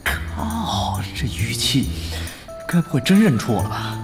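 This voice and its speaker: An actor playing a young male gamer and undercover guild spy in an audio drama, animated and exclamatory, stammering when flustered. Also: medium pitch, unhurried, over music. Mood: nervous, suspicious. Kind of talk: Chinese audio drama acting with inner monologue.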